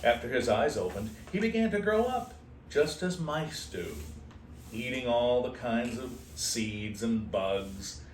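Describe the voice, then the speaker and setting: A man reading a children's storybook aloud expressively, in a playful manner with casual asides. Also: mid-range voice. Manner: unhurried, animated, playful. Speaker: host reading a picture book aloud to shelter kittens. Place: indoor room at an animal shelter. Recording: roomy, distant mic with faint noise.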